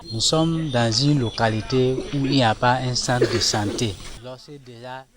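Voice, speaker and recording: A man's voice: medium pitch, fairly even intonation, moderate pace. Male villager amid crowd chatter. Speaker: local resident. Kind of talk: testimony to news camera. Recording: crowd chatter around the speaker.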